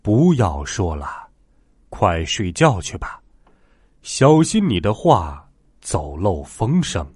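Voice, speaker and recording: A man voicing a calm, commanding mountain god in a children's story, measured and watchful. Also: low-pitched, slightly rough, dry recording, clean studio sound, pitched below the performer's own voice, exaggerated voice. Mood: firm caution. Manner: measured, instructing. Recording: music behind the voice.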